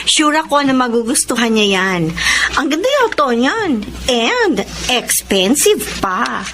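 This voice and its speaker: An actress playing a sweet, loyal young adult daughter in a Filipino radio drama, speaking earnestly with a Filipino accent. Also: female voice, high voice, measured pace, slight background noise, exaggerated voice. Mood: grateful. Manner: expressive, earnest.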